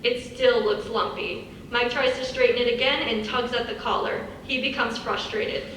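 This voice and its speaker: A woman's voice: a little high, fairly even intonation, normal speed, a bit bright. A woman reading stage directions as scripted narration. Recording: echoey hall, far from mic, faint noise.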